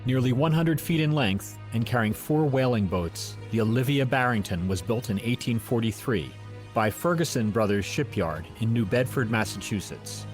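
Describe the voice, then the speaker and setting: A man delivers scripted documentary narration at a middling pitch. Clearly audible music runs underneath his voice as he reads like an audio encyclopedia narrator. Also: lively intonation, moderate pace. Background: background music.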